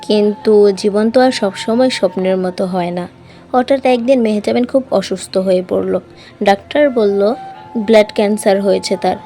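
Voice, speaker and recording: A woman narrating a sad love story as a scripted voice-over, reading softly and with feeling. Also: mid-range voice, normal speed, faint music behind.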